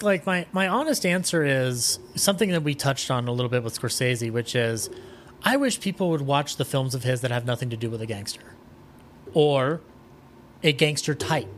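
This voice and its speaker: A man chatting casually as a podcast guest, rambling with enthusiasm and swearing now and then, with some noise behind him. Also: medium pitch. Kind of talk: casual interview chat.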